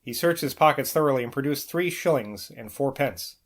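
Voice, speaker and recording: A man reads a literary novel aloud in the manner of a homemade audiobook. His voice sits at an ordinary mid pitch, and he delivers long scripted sentences with an occasional small stumble. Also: lively intonation, moderate pace. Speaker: audiobook reader.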